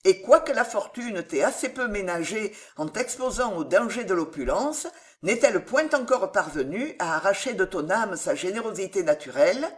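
A woman's voice: mid-range voice, expressive, normal speed, lightly acted. A woman voicing a solemn, eccentric moralizing man in an audiobook, exhorting in declamatory phrases. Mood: moved, admonishing. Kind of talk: audiobook character voice.

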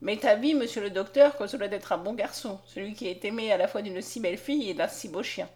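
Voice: medium pitch, fast talker, darkish tone, close to an everyday voice. A woman narrator voicing a blunt, loyal, grumbling old manservant with a folksy manner in a storybook reading. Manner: folksy, indignant.

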